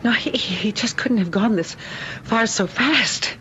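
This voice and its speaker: An actress playing an anxious, devoted wife searching for her lost husband in an old-time radio drama, speaking in a halting, fervent way. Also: a little high, noisy background, lightly acted. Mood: distraught, halting. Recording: muffled old radio, loud music behind.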